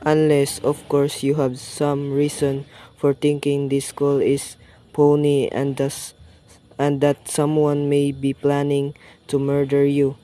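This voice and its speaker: A man playing a weary, dismissive police desk sergeant in a radio play, talking down to the caller in a flat, patronizing way. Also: on the high side, takes it slowly.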